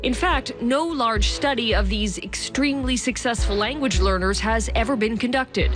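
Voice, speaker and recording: A woman's voice: on the high side, moderate pace. Female news reporter narrating over music.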